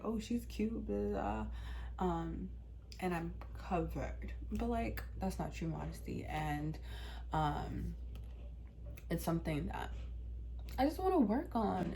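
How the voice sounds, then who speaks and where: A woman's voice: mid-range voice, mellow, slight background noise. A young woman in her twenties from Washington, D.C., telling a personal story to her channel in a candid, chatty way with plenty of "um" and "like". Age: 20s. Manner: candid, chatty, many fillers like "um" and "like". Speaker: vlogger.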